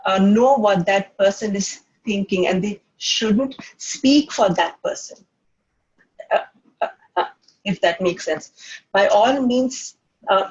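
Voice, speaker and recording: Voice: mid-range voice, slightly rough, lo-fi sound. An adult children's author who stammers, answering at a panel with frequent repeated sounds and hesitations.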